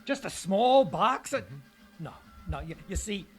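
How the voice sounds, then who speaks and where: An actor playing an unhinged, grandiose bomber in an old-time radio detective drama, halting and stammering with rapture. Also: male voice, high voice, lively intonation. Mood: proud, excited. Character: unhinged male bomber, grandiose, raptly obsessed with imagined music. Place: live stage performance in a hall. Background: music.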